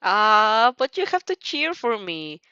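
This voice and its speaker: A woman's voice: medium pitch, very animated, takes it slowly, bright, forceful tone, smooth, dry recording, muffled recording, heavily acted. A woman voicing a teasing, playful teenage boy with a drawling manner in an anime fan story.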